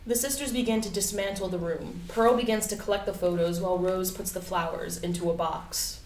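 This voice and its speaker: The sound is distant and echoey, with faint noise. A woman reads stage directions as scripted narration, her voice at an average pitch. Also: fairly quick, darkish tone. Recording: echoey, noisy room, distant from mic.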